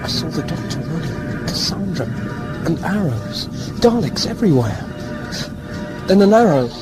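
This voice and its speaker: An actor playing a confused, guilt-ridden young man in an audio drama, emotional, halting and pleading. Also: a little high, sing-song, loud music behind the voice. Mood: confused, uneasy.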